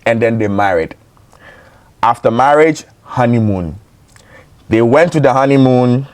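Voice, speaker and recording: A man's voice: medium pitch, animated, unhurried, no room sound. A man from Ghana with a Ghanaian accent, hosting a casual podcast and repeating words for emphasis. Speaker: podcast host.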